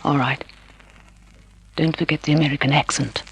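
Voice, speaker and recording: An actress playing a cool, conniving younger wife with a breathy, husky voice, in an old-time radio drama. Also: female voice, low voice, steady tone, normal speed, heavily acted, poor audio. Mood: cool, conspiratorial. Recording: vintage radio drama recording.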